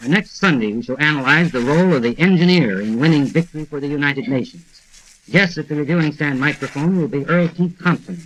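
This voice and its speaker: An American man moderating a radio discussion in a measured, formal, scripted style, on a 1940s broadcast recording. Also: fairly high pitch, fairly bright tone, low-quality recording. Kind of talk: formal scripted summary and announcement.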